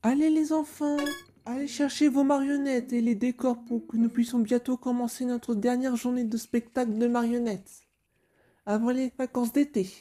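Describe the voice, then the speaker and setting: Woman as a high, very mellow schoolteacher. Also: flat delivery, normal speed, close to an everyday voice. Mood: encouraging. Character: polite, kindly female schoolteacher. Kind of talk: comic dub voice acting.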